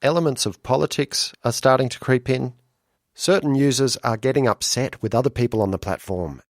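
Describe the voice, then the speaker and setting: A man from Western Australia, hosting and narrating a podcast in a relaxed, wry way. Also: mid-range voice, brisk, dry recording, studio quality. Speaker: podcast host.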